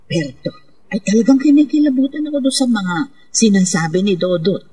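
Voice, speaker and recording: Husky voice, scared pleading wife, lively intonation, horror radio drama. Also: female voice, medium pitch, fairly quick. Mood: fearful pleading. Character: frightened, pleading woman who believes in ghosts.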